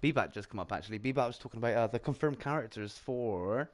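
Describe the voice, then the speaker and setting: A British man hosts a relaxed gaming livestream, chatting with friends and reading out donations. His tone is upbeat and conversational, with a middle-pitched voice. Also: fast talker. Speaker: livestream host. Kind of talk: casual gaming chat.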